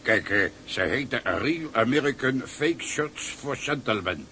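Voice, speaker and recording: An actor playing a cheery, coaxing ship's cook and fixer in a radio drama, talking colloquially. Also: male voice, mid-range voice, expressive, a little slow, sharp tone, a little hiss or hum. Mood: salesmanlike, jovial.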